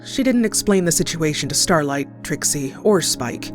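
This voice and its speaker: A woman's voice: sits low, animated, normal speed. A woman narrating a scripted horror story as a storyteller. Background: clear background music.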